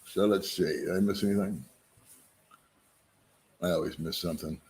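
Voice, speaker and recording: A middle-aged man hosts a relaxed live stream and talks to his viewers in a somewhat low voice. He pokes fun at himself and greets people by name as they join. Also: normal speed, a little hiss or hum. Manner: joking, self-deprecating, greets viewers by name. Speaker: vlogger hosting a live stream.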